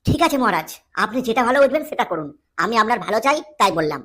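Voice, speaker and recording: A woman's voice: a little high, sing-song, rapid-fire, a bit bright, slightly rough, heavily acted. A woman voicing a scheming, power-hungry male minister in a comic cartoon, with a bossy, scornful manner. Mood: indignant.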